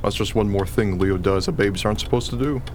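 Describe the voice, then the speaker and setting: A man's voice: lowish voice, quick pace, noisy background, natural voice. A man playing a nervous expectant husband in a radio play, trying to sound confident. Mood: reassuring. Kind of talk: radio drama acting.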